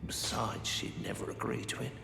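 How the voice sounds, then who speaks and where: An actor playing a gruff, self-deprecating old smith in a fantasy game, gravelly and hushed. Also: male voice, moderate pace, lightly acted. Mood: gruff, disbelieving. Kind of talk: scripted fantasy game dialogue. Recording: echoey, music behind the voice.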